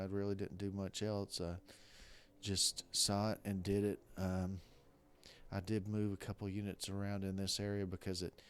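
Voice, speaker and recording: A man with a hoarse, rough voice from a weekend of coughing, talking casually and haltingly through a strategy game. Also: deep voice. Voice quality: rough-sounding voice. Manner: rambling, hesitant, many restarts. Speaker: strategy game video creator. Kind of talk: casual gameplay commentary.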